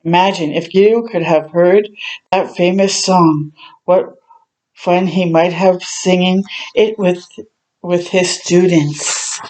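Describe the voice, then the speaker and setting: A woman reading a children's story aloud as a storytime host, adding gentle chatty reactions as she goes. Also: lowish voice, steady tone, slow, rough recording. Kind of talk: read-aloud narration with chatty asides.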